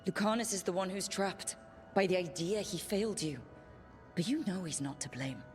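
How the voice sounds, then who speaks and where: A woman's voice: lowish voice, normal speed, lightly acted. Woman as earnest game heroine over music. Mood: firm, challenging. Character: steadfast, reassuring young heroine, earnest and determined. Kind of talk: dramatic game dialogue.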